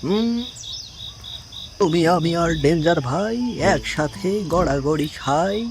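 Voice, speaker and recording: A man voicing a small, timid but cheeky cartoon mouse sidekick in an excitable way. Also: fairly high pitch, slow pace, background noise, pitched above the performer's own voice. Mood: cheeky, amazed.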